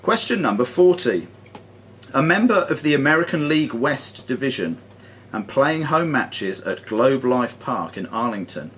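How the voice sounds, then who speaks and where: A man hosts a quiz with an animated, swooping delivery. He reads questions in a measured, formal way and drops in jokey asides. The live room recording has a light layer of noise. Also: mid-range voice. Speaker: quizmaster. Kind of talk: reading quiz questions aloud to players. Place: sports and social club room.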